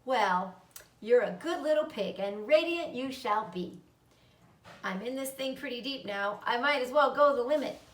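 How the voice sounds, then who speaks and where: Lady reading as a kindly spider mom from a storybook, calm n measured, bit far from the mic. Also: a little high, natural voice. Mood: affectionate. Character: calm, motherly, commanding female spider storyteller. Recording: roomy, echoing, distant microphone.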